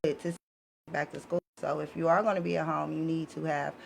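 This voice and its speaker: A woman's voice: low-pitched, flat delivery, quick pace. American woman, emphatic, over background noise. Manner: emphatic, punctuates points with check-in questions. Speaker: podcast guest presenting her educational book series. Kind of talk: casual conversational explanation. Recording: clearly noisy live podcast recording.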